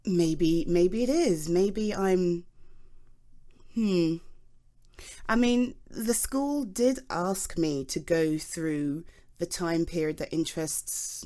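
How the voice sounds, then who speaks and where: A woman's voice: lowish voice, dark tone. A British woman playing an enthusiastic, self-deprecating geology nerd in an ASMR roleplay, rambling hesitantly as if chatting to a listener. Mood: hesitant, reluctant. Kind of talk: ASMR voice roleplay, improvised one-sided conversation.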